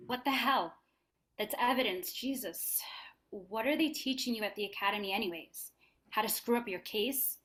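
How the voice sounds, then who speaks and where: A sharp-tongued police detective in her forties read by a woman at a crime script reading. Her voice is medium in pitch, with a dry, jaded, scolding manner. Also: normal speed, natural voice, low-quality recording. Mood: scolding, exasperated.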